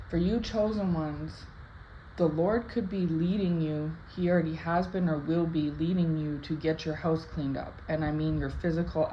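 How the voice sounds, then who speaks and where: Chatty low-voiced woman, slightly raspy. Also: dark tone. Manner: chatty, rambling, many "like" and "right?" tags. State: slightly raspy from just waking up. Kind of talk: casual spoken dream interpretation to camera. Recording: dull lo-fi sound with faint background hiss.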